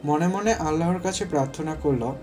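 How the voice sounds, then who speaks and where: A man's voice: on the high side, moderate pace, music in the background. A man narrating a scripted romantic story as its storyteller.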